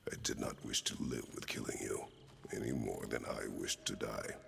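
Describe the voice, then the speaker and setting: A man playing a grim, middle-aged warrior father in a video game, terse, solemn and measured, with a very deep gravelly voice. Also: heavy background noise, heavily acted. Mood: grave, resigned. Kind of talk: dramatic video game acting.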